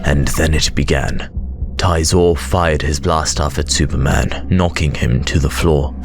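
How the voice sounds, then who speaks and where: A man reading scripted dramatic narration, telling the story in a measured, suspenseful way. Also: a bass voice, fairly even intonation. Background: loud dramatic music.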